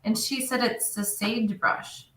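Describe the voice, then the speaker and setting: Bright, echoey woman chatting and laughing. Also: a little high, steady tone, measured pace, recorded from a distance. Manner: chatty, agreeable, laughs a lot. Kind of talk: casual chat.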